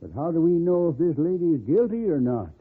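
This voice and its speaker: A man's voice: fairly high pitch, lively intonation, fast talker, husky. An actor playing a suspicious, plain-spoken frontier lawman in a radio western, blunt and folksy in his talk. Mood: wary. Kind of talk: old-time radio western acting. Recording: noisy, tinny old radio recording.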